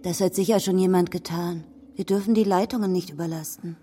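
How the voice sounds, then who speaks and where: An actress playing a detached, curious wife in a radio drama, languid, with a soft, breathy voice. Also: female voice, fairly low pitch, steady tone, brisk, lightly acted. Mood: matter-of-fact. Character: Anne, detached, curious wife watching from window. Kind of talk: naturalistic German radio drama acting.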